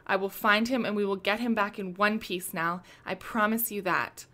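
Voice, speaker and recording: A woman's voice: medium pitch, fairly even intonation, normal speed, sharp tone, natural voice. A woman playing a commanding, protective woman in charge in a radio drama, firm and authoritative. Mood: firm, reassuring. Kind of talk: radio play acting.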